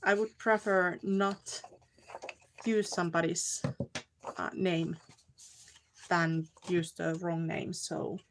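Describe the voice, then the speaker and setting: A woman from Finland with a clear Finnish accent talks to her viewers at an unhurried pace while she crafts, often pausing to search for the right English word. A faint hiss sits under her voice, and tool clicks come through now and then. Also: lowish voice, level tone. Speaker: card-making streamer. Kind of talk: casual live crafting chat. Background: clicking crafting tools.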